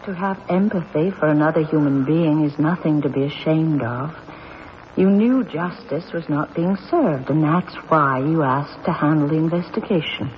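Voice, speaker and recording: A woman playing an earnest, sorrowful widow with an emotive, measured manner, in an old-time radio drama style. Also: deep voice, natural voice. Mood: tender, earnest. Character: earnest, sorrowful, forgiving widow accused of killing husband. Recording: muffled old radio recording, faint noise.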